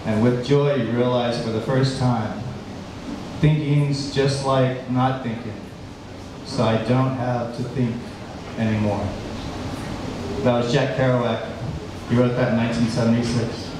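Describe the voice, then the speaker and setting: A man's voice: medium pitch, fairly even intonation, recorded from a distance. Echoey man reciting poetry on stage. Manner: deliberate, dramatic phrasing in short bursts. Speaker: spoken-word poet. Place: small indoor stage at a live venue. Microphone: handheld microphone through PA, filmed from audience. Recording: echoey live venue PA with noise.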